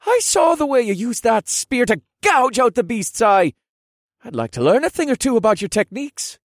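A man's voice: very high-pitched, big pitch swings, moderate pace, no room sound, clean studio sound, voice pushed up, full character voice. A man voicing eager, loyal young soldiers in a fantasy audiobook, with a hearty, earnest and emphatic delivery. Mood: cheerful, eager.

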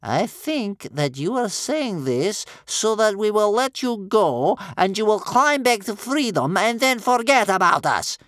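A man voicing a bitter, sceptical old grandmother in a storybook, stern and sarcastic, with a slow, spitting delivery. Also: high-pitched, sing-song, a bit bright, dry recording, studio quality, pitched above the performer's own voice, full character voice. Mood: bitter distrust.

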